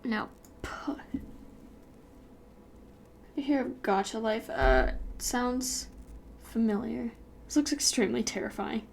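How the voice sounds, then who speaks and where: Young american girl rambling and giggling, high voice, slow and easy. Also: gravelly, a little hiss or hum. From United States. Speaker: YouTuber animating while streaming. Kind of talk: casual chat while working.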